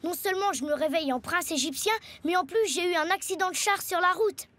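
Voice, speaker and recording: A woman's voice: very high-pitched, fairly quick, a bit bright, no echo. A woman voicing a plucky young boy turned Egyptian prince in a French cartoon. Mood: earnest. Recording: music under the voice.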